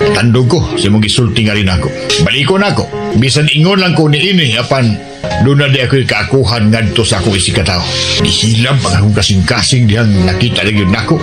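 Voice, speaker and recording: An actor with a Cebuano accent playing a gruff street-gang boss softened by pity, emphatic and exclamatory in a radio drama. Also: male voice, medium pitch, lightly acted. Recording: radio drama with music underneath.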